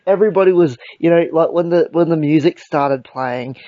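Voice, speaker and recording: A young Australian man with a croaky voice, hosting a casual podcast chat, rambling and swearing with lots of fillers. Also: fairly high pitch, normal speed, dry recording. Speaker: podcast host. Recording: dull lo-fi sound.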